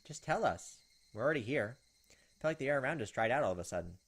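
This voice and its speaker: Fast-talking man doing game commentary. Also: medium pitch, lively intonation, breathy edge. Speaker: gaming video host. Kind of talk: casual playthrough commentary and reading game text aloud.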